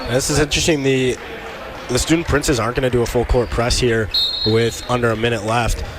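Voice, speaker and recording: A man's voice: medium pitch, level tone, a little fast, background noise. A man doing color commentary on a basketball radio broadcast, analyzing the game conversationally with casual fillers.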